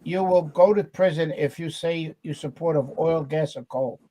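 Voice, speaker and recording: Halting man with higher voice. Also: mellow, poor audio. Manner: hesitant, many fillers. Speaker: co-host reading chat. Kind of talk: casual panel chat.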